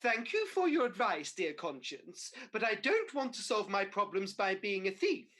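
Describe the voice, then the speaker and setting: A woman's voice: medium pitch, very animated, normal speed, a bit bright. A woman playing a cheeky, scatterbrained Mother Goose in a radio pantomime, exclamatory and comic. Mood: bemused, earnest. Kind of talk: pantomime radio play acting.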